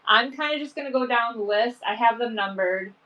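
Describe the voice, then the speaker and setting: A woman's voice: a little high, a little fast, pushed and bright, lo-fi sound. A woman with a sniffly, allergy-stuffed voice hosting a casual live sale from home, chatting along with lots of "um" and "like.". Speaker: online vintage seller.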